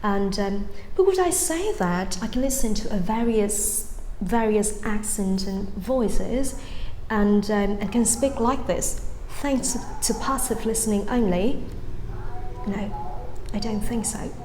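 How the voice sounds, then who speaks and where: A woman's voice: mid-range voice, slow pace, darkish tone, a little hiss or hum. A young Vietnamese woman in her twenties, speaking English with a light Vietnamese-tinged accent with British touches, giving a chatty, rambling language lesson full of ums and restarts. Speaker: English-learning YouTuber. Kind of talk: casual vlog-style lesson. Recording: echoey room with faint ambience.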